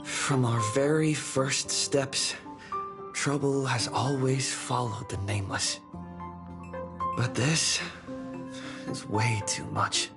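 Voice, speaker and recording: A man playing a weary, easygoing young space traveler in a video game, with a casual, soft-spoken delivery. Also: mid-range voice, takes it slowly, pauses often, loud music behind the voice. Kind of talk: video game story dialogue.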